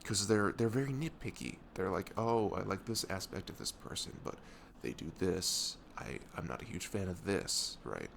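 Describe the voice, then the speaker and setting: A young man playing a caring, protective best friend in an intimate ASMR audio roleplay, talking in a halting, soothing, earnest way that slips into whispers. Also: low voice, animated, vocal fry, a little hiss or hum. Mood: gentle, earnest.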